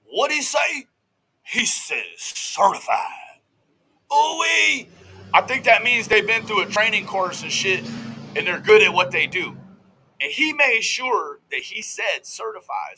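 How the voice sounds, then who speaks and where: A man's voice: high voice, pushed and bright, breathy edge, rough recording. A man giving casual, profane reaction commentary as host of a true crime show, in a brash, folksy, slangy way.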